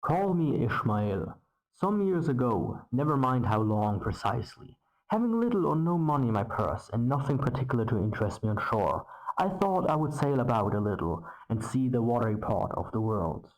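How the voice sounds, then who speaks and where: Man reading aloud with lively pitch. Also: moderate pace, rough recording.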